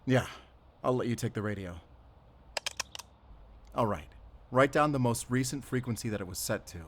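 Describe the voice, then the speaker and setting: A man playing a weary, determined werewolf hunter in a cinematic audio roleplay, speaking pensively in short, halting phrases. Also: mid-range voice, a little hiss or hum, natural voice. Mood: focused, deliberating. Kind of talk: cinematic audio roleplay acting, addressing a silent companion. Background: cinematic ambient sound effects.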